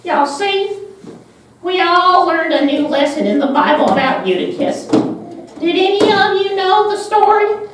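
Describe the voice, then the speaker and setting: A woman's voice: very high-pitched, measured pace. A woman playing a patient Sunday school teacher in a children's puppet show. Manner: instructive, patient. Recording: echoey, noisy room, distant mic.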